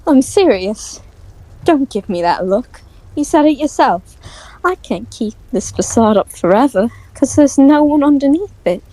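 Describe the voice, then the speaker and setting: A woman playing a bitter, grief-stricken young nobleman with a halting, intense delivery in an audio drama. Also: sits high, animated, mellow, poor audio. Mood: despairing, pleading.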